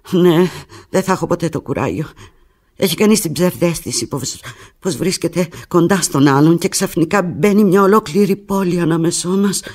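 An actress playing an anguished, lovelorn woman pleading with her departing lover in a halting, volatile radio theatre monologue. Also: low voice, breathy edge.

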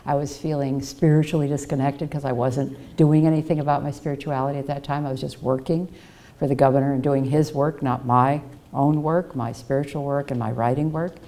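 A woman's voice: very deep voice, fairly even intonation, a little fast, warm tone. An older woman giving a reflective personal talk at a podium in a library auditorium, telling stories in an earnest way, on a headset mic. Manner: earnest, reflective storyteller, acts out quoted voices. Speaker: guest speaker.

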